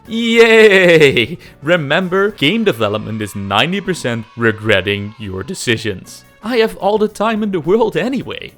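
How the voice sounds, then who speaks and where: Animated man narrating a comedic devlog. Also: a little high, a little slow, hardly any pauses, soft background music. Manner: self-deprecating, exaggerated emphasis, sweary. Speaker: host, amateur game developer narrating a devlog. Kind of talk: comedic scripted video narration.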